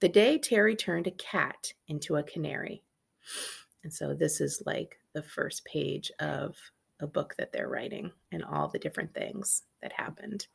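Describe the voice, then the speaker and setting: A woman's voice: deep voice, unhurried, vocal fry, slightly rough. A woman, a librarian reading a children's book aloud with casual asides.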